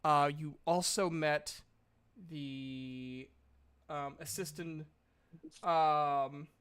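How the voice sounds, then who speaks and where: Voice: mid-range voice, steady tone, extremely slow, fairly bright tone, clean tone. A game master hosting a livestream, chatting casually and recapping a tabletop game in an informal, sweary way. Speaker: game master and stream host.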